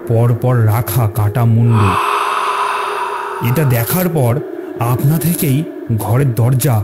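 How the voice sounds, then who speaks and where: A man narrating a scripted ghost story in a dramatic storytelling style. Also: mid-range voice. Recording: clear music bed under narration. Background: music.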